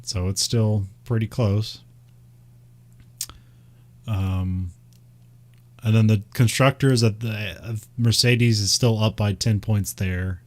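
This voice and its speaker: A man's voice: lowish voice, fairly even intonation, slow, pauses often. A man with a Midwestern American accent, chatting casually and rambling, with frequent laughs and fillers. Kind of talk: casual chat about racing.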